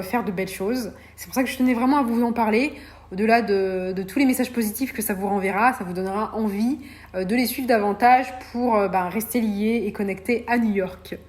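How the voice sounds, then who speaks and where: A woman's voice: fairly high pitch, a little mellow. Hesitant woman hosting a podcast. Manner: hesitant, many fillers. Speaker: podcast host. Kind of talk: solo podcast monologue.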